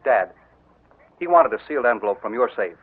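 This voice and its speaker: An earnest young son, defensive and quick to protest. His pitch swings widely, heard over a thin, tinny old radio broadcast. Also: animated, slightly rough. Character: indignant young man accused of betrayal. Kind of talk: 1940s radio acting.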